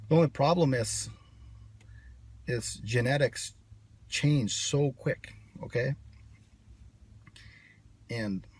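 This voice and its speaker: A man's voice: medium pitch, a little mellow, slightly rough. A man from the United States talking in a brash, sarcastic, rambling monologue to camera. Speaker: channel host. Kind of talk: casual vlog monologue.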